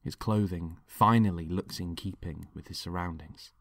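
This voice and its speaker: A man's voice: sits low, steady tone, moderate pace. A man reading scripted dramatic narration in the present tense. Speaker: writer and narrator.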